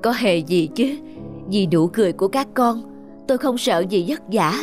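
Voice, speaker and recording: A poor cobbler father in a cartoon drama, kind and worn out. He speaks in a gentle, reassuring way, with music playing clearly behind him. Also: high-pitched, expressive, normal speed. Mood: gentle, tired.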